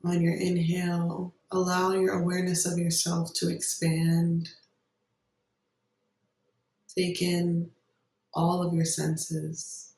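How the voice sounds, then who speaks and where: A meditation guide giving gentle, unhurried instructions with long pauses between phrases. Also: medium pitch, completely flat delivery. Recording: roomy echo, distant microphone.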